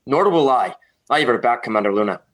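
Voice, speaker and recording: A man's voice: medium pitch, animated, fast, lightly acted. A man playing a loyal, defiant space-station chief in a comedy sci-fi radio play, blunt and protective.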